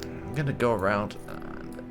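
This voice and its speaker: A man's voice: on the low side, a little slow, over music. A man hosting a gaming video, giving casual, wry commentary as he muses to himself while playing. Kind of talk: casual roleplay game commentary.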